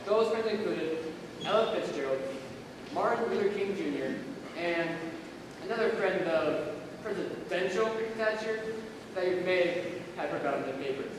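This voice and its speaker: A man playing a solemn narrator who introduces famous women of history, with measured, declamatory scripted delivery on a school stage. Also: sits high, expressive. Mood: earnest. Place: large indoor performance space at a school. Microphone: distant livestream camera microphone. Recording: echoey hall from far off, noisy.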